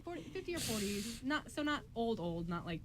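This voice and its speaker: A woman's voice: fairly high pitch, big pitch swings, fairly quick. A young adult woman hosting a radio drama show, chatting casually and explaining in loose, informal asides. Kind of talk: casual chat. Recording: noisy room recording.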